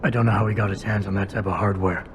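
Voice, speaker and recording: An actor playing a stoic, gruff male cyborg investigator in a video game, terse and dryly confident. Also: lowish voice, steady tone, rapid-fire, noisy background, natural voice. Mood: matter-of-fact.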